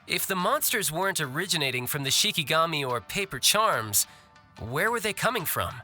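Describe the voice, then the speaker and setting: A man's voice: fairly high pitch, big pitch swings, normal speed, pushed and bright, heavily acted. A young man voicing a shrewd, cocky fighter in a video game, with a glib, teasing manner. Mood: musing. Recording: music behind the voice.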